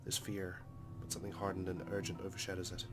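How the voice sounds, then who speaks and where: A man reads visual novel narration as a dramatic story for a Let's Play. His voice sits low and stays very even in pitch, measured and serious. Loud game music plays behind him. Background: game soundtrack music.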